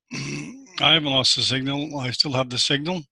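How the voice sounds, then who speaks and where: A man hosting an online conference, announcing the next presenter in a slow, relaxed way. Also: mid-range voice, creaky, husky, dry recording, low-quality recording. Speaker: conference host. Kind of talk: live broadcast moderating.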